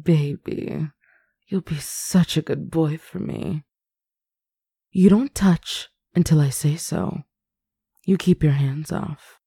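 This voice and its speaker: A woman speaking an intimate roleplay in a teasing, commanding, sultry manner, close to the mic and often dropping into breathy whispers. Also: low voice, warm tone, no echo. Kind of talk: intimate adult roleplay audio.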